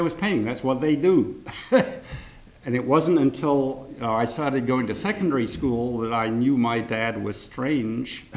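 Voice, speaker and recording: An older man speaks at a seminar with a gravelly, creaky voice at an ordinary middle pitch. He tells family anecdotes in a rambling, informal way, often stopping to correct himself and chuckling now and then. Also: a little mellow. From grew up in France and England. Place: lecture hall.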